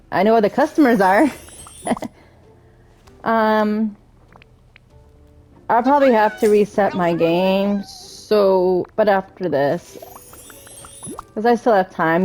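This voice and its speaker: Female gameplay streamer, mid-pitched, slow delivery, casual think-aloud commentary over game music. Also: a little mellow.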